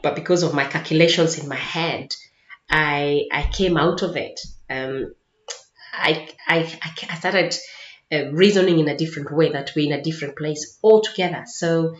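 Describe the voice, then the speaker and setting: A woman with a Kenyan accent telling personal stories in a casual, chatty vlog style. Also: low-pitched. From Mombasa, Kenya. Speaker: vlogger sharing personal experiences. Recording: roomy echo, dull lo-fi sound.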